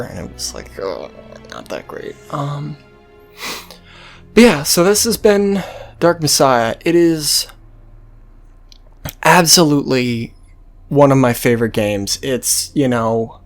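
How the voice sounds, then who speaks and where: A let's-play host with a medium-pitched voice talks slowly and casually over faint game music. The delivery rambles and hesitates, with plenty of swearing mixed in. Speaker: host of a gameplay series.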